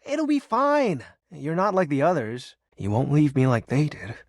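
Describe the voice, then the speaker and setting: Game role: brooding personification of darkness, grandiose yet awkward and wistful, highly animated pitch, dry recording. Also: male voice, normal speed, no echo. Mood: pleading, coaxing. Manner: theatrical grandiose villain speech slipping into awkward, wistful sincerity. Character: brooding, lonely, melodramatic male personification of darkness. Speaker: voice actor. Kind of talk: dramatic video game character acting.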